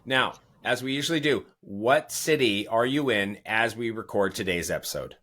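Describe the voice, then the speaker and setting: A man's voice: lowish voice, expressive, normal speed, fairly bright tone. A man hosting an interview podcast who wanders into digressions and asides before his questions. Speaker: podcast host.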